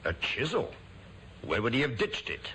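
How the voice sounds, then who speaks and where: A stern police officer. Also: male voice, mid-range voice, huge pitch swings, moderate pace, pushed and bright, husky, exaggerated voice, poor audio. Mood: firm. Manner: firm, official. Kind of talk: 1950s radio drama acting. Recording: old radio broadcast with faint hiss.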